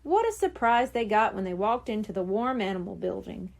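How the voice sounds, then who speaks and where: A woman narrating a children's storybook in an animated storytelling manner. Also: medium pitch, a little fast, gravelly. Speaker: audiobook narrator.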